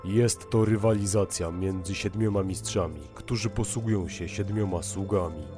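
A man playing a grave, knowing narrator who gives measured, solemn exposition in an anime fan dub. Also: low-pitched, fairly even intonation, over music, natural voice. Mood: grave, explanatory. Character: grave, knowing male narrator of a legendary war.

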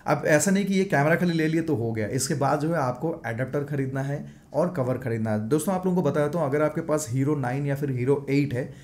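A man's voice: on the high side, fairly quick, few pauses, darkish tone. A man in his thirties with an Indian accent, chatting casually in a home room as a moto vlogger reviewing action cameras, switching between Hindi and English. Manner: chatty, mixes Hindi and English, shows gear while talking. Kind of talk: casual gear review vlog. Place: home gaming room, indoors. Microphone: large foam mic on desk in front.

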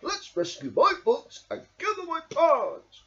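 A man's voice: very high-pitched, huge pitch swings, a little slow, creaky, highs cut off, pitched above the performer's own voice, heavily acted. A young male voicing a cheerful, kindly storybook badger in an exclamatory, sing-song way. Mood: hearty.

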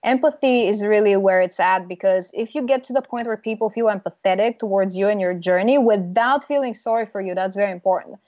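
A woman's voice: mid-range voice, brisk, few pauses, a little mellow, dry recording. A confident young woman from Bulgaria, a branding consultant speaking as a guest in a casual video-call interview, talking emphatically. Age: young adult. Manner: confident, emphatic, laughs now and then. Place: small room indoors. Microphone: earbud mic on video call. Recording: dull lo-fi video call audio.

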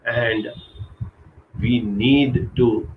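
A middle-aged man from India giving an earnest motivational talk over a webcam from a small curtained room, slowing down to stress key points. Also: mid-range voice, fairly even intonation, phone-quality sound, a little hiss or hum. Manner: earnest, urging, slows down for emphasis. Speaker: counselor giving a live talk. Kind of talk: motivational live-stream talk. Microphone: webcam video call.

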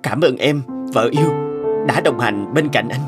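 A man's voice: medium pitch, moderate pace, natural voice. A man voicing a suited husband and company boss in a cartoon, affectionate but prone to suspicion. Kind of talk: Vietnamese cartoon dubbing. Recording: music under the voice.

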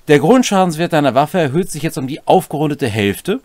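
Male co-host, middle-aged, animated melody, mid-pitched, dry close sound, wry rules explainer. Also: normal speed, no echo. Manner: colloquial, wry commentary. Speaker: host of a tabletop role-playing rules series. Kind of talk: casual explanation of game rules with asides. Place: small furnished room at home, indoors.

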